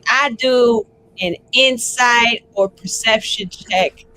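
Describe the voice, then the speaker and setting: A man chatting casually as a tabletop game player, informal, expressive and prone to swearing. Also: very high-pitched, slow, poor audio. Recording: faint background noise.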